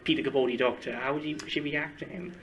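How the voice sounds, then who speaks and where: A man's voice: fairly high pitch, quick pace, fairly bright tone, slight background noise. A man doing a casual fan interview, eager and repeating himself as he hesitantly works towards each question.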